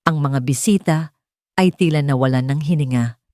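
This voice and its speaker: A woman's voice: low-pitched, moderate pace, warm tone, no room sound, clean studio sound. A woman narrating a scripted dramatic story in a solemn, sentimental manner.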